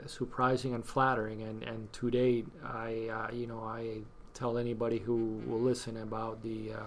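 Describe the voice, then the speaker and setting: A middle-aged man from Cuba speaks in a casual interview, his voice held in a narrow, even pitch. He pauses and restarts often, leaning on fillers. A faint hiss runs under the recording. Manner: hesitant, many fillers and restarts. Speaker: guest, scouting volunteer.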